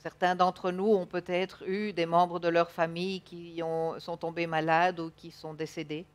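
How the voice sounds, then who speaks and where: A woman's voice: on the low side, little pitch movement, moderate pace. A woman in her thirties interpreting a sermon live, feeling for her words at times. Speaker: church interpreter. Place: church stage, indoors.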